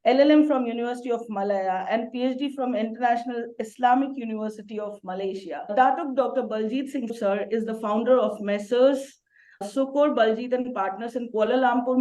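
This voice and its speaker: A female interview host with a slightly high voice reads a formal introduction. She stays polite and deferential, addressing her guest as sir. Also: darkish tone, rough recording. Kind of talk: scripted introduction and interview questions.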